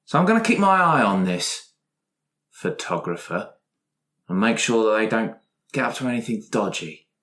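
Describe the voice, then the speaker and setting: A man voicing a shady, self-satisfied arcade businessman in an improvised monologue, deliberate and insinuating. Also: fairly low pitch, fairly bright tone, roomy sound. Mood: slyly menacing.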